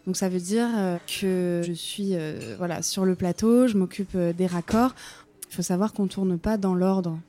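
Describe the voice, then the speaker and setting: A woman's voice: fairly low pitch, unhurried, mellow. Hesitant young French woman. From France. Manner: thoughtful, hesitant with many fillers. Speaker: guest, film script supervisor. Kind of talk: conversational interview. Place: café-restaurant in Paris.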